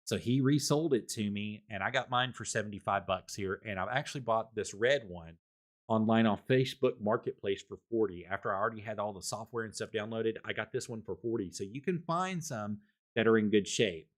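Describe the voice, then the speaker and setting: A man with a fairly low, loud voice gives a casual microphone review on his home stream. He rambles, restarts and repeats words as he walks through his settings, speaking into a desktop condenser mic. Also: a little fast.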